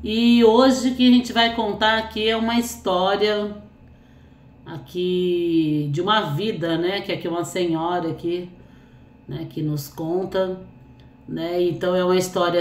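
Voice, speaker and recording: A woman with a cold and a hoarse voice, hosting a storytelling channel and reading a listener's life story aloud between chatty asides full of little fillers. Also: lowish voice, a little mellow, a little hiss or hum. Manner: chatty, fills speech with "né" and "assim". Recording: echoey room.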